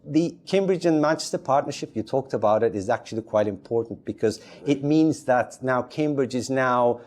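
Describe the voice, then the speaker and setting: A man who grew up in Turkey talks as a podcast guest with a mellow, dark-toned voice. He rambles loosely, circling back with fillers and restarted phrases, at an ordinary middle pitch. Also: normal speed. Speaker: podcast guest, community advisor. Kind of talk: casual chat.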